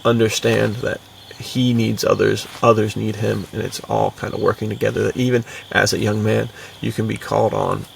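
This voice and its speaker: A man with a heavily creaky, lowish voice talks in a casual, enthusiastic podcast style. He rambles along in slangy phrases. The microphone sounds somewhat distant. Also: little pitch movement, normal speed, few pauses. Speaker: podcast co-host and fiction writer. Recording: distant mic with faint background noise.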